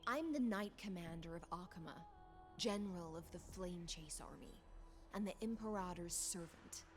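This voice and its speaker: A woman voicing a proud swordfish knight commander in a game, speaking in a formal, measured, courtly way. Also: lowish voice, faint music behind, close to an everyday voice.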